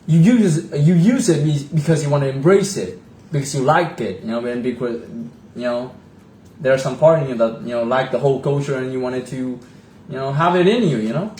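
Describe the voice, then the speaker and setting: A man talks in a casual chat, often pausing and restarting his sentences with "you know" and "like." He is recorded in an echoey room with a faint hum underneath. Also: mid-range voice, a little mellow. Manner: halting, full of fillers and restarts. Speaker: co-host.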